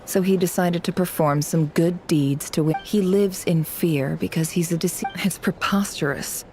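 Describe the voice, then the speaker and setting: Low-voiced, guarded young female spy. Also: measured pace, a little mellow, slight background noise. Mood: wry, cynical. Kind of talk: video game voice acting.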